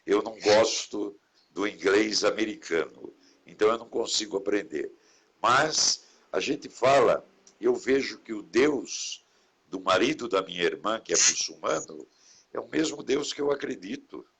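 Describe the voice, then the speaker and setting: Low, husky-voiced priest. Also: male voice, expressive, measured pace. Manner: warm, reflective, preachy asides about caring for others. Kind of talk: casual conversation in a live stream.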